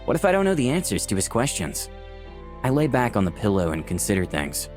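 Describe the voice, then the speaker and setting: A man's voice: lowish voice, huge pitch swings, fairly quick. A man playing an eager young tech fan who earnestly narrates his own story in a visual novel. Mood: pensive. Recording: music behind the voice.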